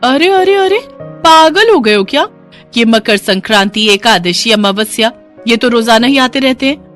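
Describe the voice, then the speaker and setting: Woman acting a shrill, stingy young daughter-in-law. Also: animated, normal speed, fairly bright tone, faint music behind. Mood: angry, demanding. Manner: scolding, emphatic, theatrical. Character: stingy, sharp-tongued young Indian daughter-in-law, demanding wife.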